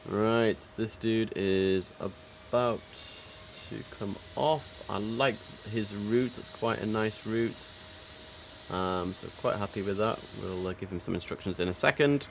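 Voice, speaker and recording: Man, low pitch, casual live commentary, air traffic controller phrasing, clear background noise. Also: a little slow. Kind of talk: casual live game commentary mixed with radio instructions.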